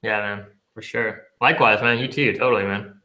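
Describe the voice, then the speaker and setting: Bright-voiced laid-back male podcast host. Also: on the low side, steady tone, fairly quick. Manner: easygoing, laid-back, says "man" a lot. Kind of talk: casual interview.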